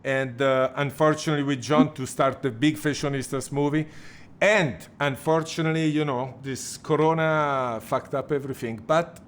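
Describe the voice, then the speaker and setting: A man hosting a live chat, reading viewer questions aloud in halting, broken English. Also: fairly high pitch, fairly even intonation.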